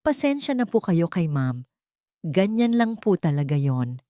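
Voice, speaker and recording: A woman voicing a gentle housemaid who speaks softly and respectfully, full of pity, in a dramatic story. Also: on the low side, very animated, no room sound, clean studio sound. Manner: soft, respectful, slow.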